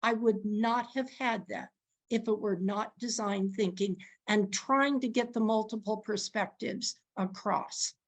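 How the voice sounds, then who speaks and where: A woman guest speaker answering questions over a video call, thoughtful, with frequent restarts and soft laughter. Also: medium pitch, fairly even intonation, a little slow. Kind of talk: answering questions in an online session.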